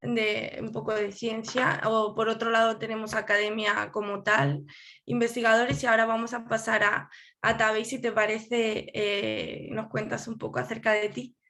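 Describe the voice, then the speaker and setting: A woman moderates a panel over a video call, her voice sitting a little high. She speaks in a measured, friendly way, pausing with small fillers as she hands over turns. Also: little pitch movement, fairly bright tone.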